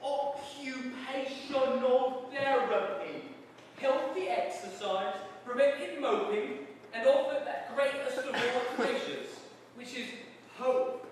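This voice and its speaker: A cheerful prison warder from a comic novel, acted out by a man, pitched high and drawling with mock deference. He is chatty and sing-song, heard from a distance in a very echoey, noisy space. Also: slow. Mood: cheerfully chatty. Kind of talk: dramatic reading of a comic novel.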